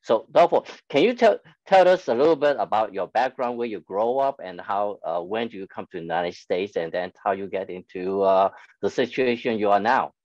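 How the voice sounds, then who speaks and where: A male host asking interview questions in a halting way, with many filler pauses. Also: on the low side, darkish tone, no echo. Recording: dull, dry audio.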